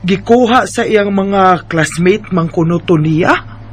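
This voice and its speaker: A man voicing a welcoming middle-aged auntie with a husky voice and a Bisaya Filipino accent in an amateur radio drama. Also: high voice, slow, heavily acted.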